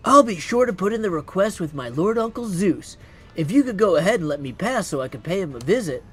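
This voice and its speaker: A man's voice: high-pitched, very animated, a little fast, fairly bright tone, breathy edge, faint music behind, full character voice. Man voicing a dryly polite young goddess. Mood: wry. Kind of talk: video game character acting.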